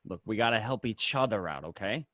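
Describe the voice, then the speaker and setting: A lively, swooping male voice in a dry recording , an actor in character as a jailed mobster. He is defensive and placating with his wife, pushing his point in a crime radio drama. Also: on the low side, fairly quick, dry recording. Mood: placating, insistent. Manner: insistent, placating. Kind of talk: radio drama acting of a film script.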